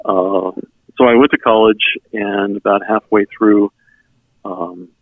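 A middle-aged man from South Texas whose first language is German, telling his personal story in an earnest, halting way as an interview guest. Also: fairly low pitch, steady tone, dry recording. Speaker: interview guest, member services volunteer. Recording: tinny phone-like sound, band-limited.

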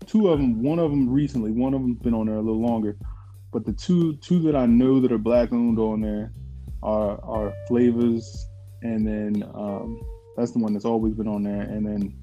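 Mellow-voiced man rambling in casual chat. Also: on the low side, normal speed, dull audio, faint music behind. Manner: rambling, many fillers and restarts.

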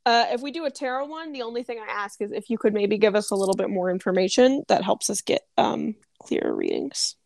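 A woman's voice: medium pitch, wildly swooping pitch, fairly quick, creaky, hardly any pauses, a little mellow, dry recording. A woman co-hosting a casual live audio chat as a tarot reader, chatty and giggly. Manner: chatty, giggly, self-conscious.

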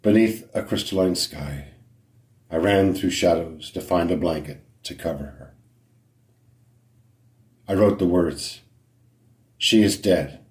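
Slow, very deep-voiced man reciting poetry. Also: darkish tone, reverberant. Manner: measured, dramatic pauses between phrases. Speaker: poet.

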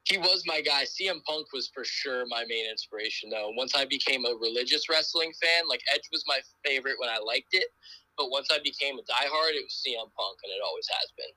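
A young man in his twenties talks on a podcast with a very bright, forward voice. He tells his story loosely and rambles, with lots of "like" and "um" in between. The sound is close and dry, with no room around it. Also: mid-range voice, fairly quick, no room sound, poor audio. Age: 20s. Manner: relaxed, rambling storytelling with many "like" and "um". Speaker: podcast guest, pro wrestler. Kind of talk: casual podcast interview.

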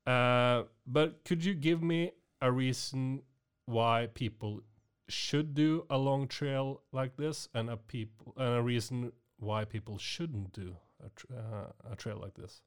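A man from Norway, hosting a podcast interview in a halting way, with many restarts. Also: medium pitch, clear, resonant tone. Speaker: podcast host. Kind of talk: conversational interview.

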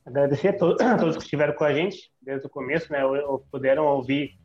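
A man's voice: medium pitch, fast talker, a little mellow, soft background music. A man with a southern Brazilian accent hosting a podcast, chatty and teasing with frequent tag questions. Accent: Southern Brazilian (Rio Grande do Sul). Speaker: podcast host. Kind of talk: casual podcast chat. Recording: slightly dull lo-fi audio.

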